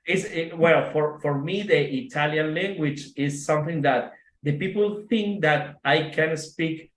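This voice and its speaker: Argentine man, slow, halting English. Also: on the high side, a bit bright. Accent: Argentine Spanish. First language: Spanish. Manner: halting English with many fillers and restarts, laughs often. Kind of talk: casual video call chat. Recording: echoey room.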